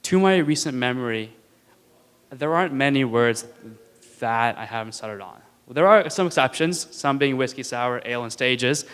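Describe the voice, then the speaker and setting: A young man in his twenties speaks to an audience from a stage mic, his stutter catching on words and syllables as he pushes through. His voice is smooth and resonant, and it carries a long hall reverb behind it. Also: medium pitch, expressive, moderate pace, fairly bright tone. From India. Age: 20s. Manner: earnest, lightly joking with the audience. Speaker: student speaker. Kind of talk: prepared stage talk. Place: auditorium stage.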